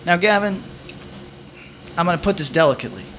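A man hosts a podcast, his voice a little higher than most men's. He delivers his lines with mock grandeur, stumbling over fillers. Faint noise runs underneath. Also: lively intonation, a little fast, a bit bright. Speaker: podcast host. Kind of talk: casual podcast hosting.

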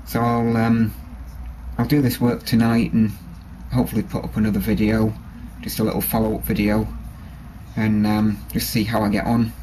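Low-voiced man talking through a knife. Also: moderate pace, a little hiss or hum, poor audio. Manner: relaxed, hesitant with many ums and restarts. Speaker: bushcraft hobbyist showing a knife. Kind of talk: casual show-and-tell. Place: workshop with a grinder and workbench.

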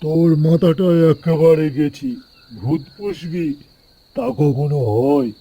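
A man's voice: a little high, takes it slowly, mellow. A man voicing a weary, fretting older village father in a cartoon. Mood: alarmed scolding.

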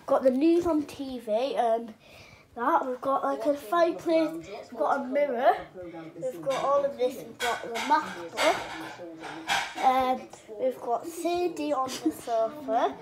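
High, slow-talking girl. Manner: hesitant, lots of ums, rambling. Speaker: vlogger giving a house tour. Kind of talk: casual home vlog. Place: suburban house, indoors and garden. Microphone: phone held at arm's length. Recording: noisy phone recording at home.

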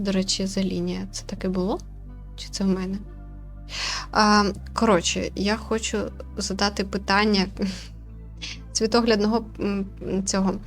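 A woman hosting a casual let's play, commenting informally and playfully as she nitpicks. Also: medium pitch. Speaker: gaming host, player commenting. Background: game music.

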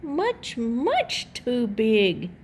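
A woman's voice: fairly high pitch, wildly swooping pitch, very slow, slight background noise, heavily acted. A woman voicing a small, earnest storybook chicken with an emphatic manner. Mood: emphatic worry.

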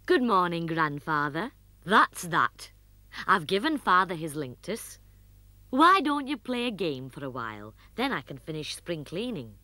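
A motherly puppet mum , as a woman narrator puts her on, patient and explaining. She speaks a little above the narrator's own pitch, slowly. The sound is dry and close. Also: sing-song, bright, forceful tone, no room sound, exaggerated voice. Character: motherly furry puppet mum, busy tidying.

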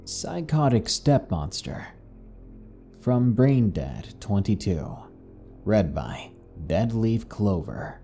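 A man reading scripted horror stories as a narrator, in a deliberate, grave manner. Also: fairly low pitch, sing-song, dark tone, faint music behind. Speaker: narrator and host.

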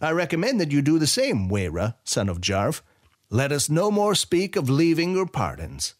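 A man voicing a commanding, shrewd army general who booms and mocks. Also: wildly swooping pitch, normal speed. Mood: patronizing. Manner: booming, declamatory.

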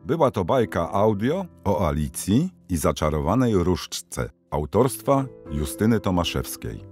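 A man with a low voice reads a scripted announcement. His intonation is lively, and music plays underneath. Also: a little slow. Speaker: credits announcer.

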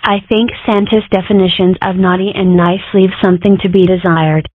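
A woman's voice: medium pitch, steady tone, normal speed, dry recording, natural voice. Woman voicing a cheeky girl fox, phone-like sound. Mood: matter-of-fact. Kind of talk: amateur comic strip dub. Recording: muffled, phone-like narrow sound.

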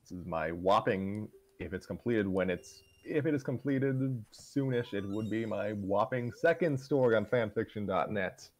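A man co-hosting a show in casual, unscripted chat, talking in a halting, self-deprecating way. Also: fairly low pitch. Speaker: co-host of a fan fiction reading show.

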